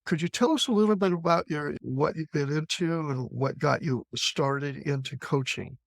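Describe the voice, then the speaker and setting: A man's voice: fairly high pitch, moderate pace, gravelly, no echo. A man hosting a casual interview, putting loose, informal questions and remarks to his guest. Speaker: interviewer, host.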